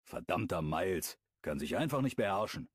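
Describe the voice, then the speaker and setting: A man's voice: low voice, big pitch swings, moderate pace, breathy edge, no echo, studio quality, full character voice. A man voicing an exasperated young man who grumbles about his unruly dog.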